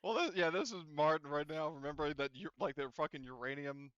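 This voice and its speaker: A man acts as game master of a tabletop game, narrating in a loose, rambling way full of fillers and restarts. His voice is smooth and resonant, recorded dry with no room sound. The audio is slightly dull and lo-fi. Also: on the high side, fast, fairly bright tone, no room sound.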